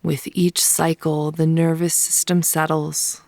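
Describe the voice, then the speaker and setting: A woman leading a guided yoga nidra meditation in a soft, soothing, unhurried manner, with phrase ends sometimes hushed. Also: low voice, little pitch movement, vocal fry, no echo, studio quality. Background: soft pink noise.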